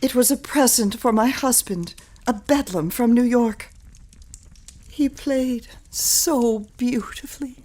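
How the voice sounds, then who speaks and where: An actress playing a flustered, fragile widowed mother in a period audio drama, halting and repeating her words in a genteel, anxious way. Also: a little high, mellow. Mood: gracious, subdued.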